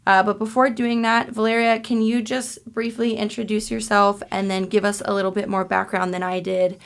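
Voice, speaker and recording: A young woman hosting a podcast, reading a scripted introduction in an even way. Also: mid-range voice, moderate pace, gravelly. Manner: even, read-aloud delivery. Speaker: podcast host.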